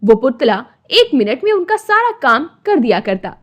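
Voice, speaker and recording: A woman's voice: sits high, sing-song, moderate pace, fairly bright tone. A woman narrating a scripted moral story as its storyteller.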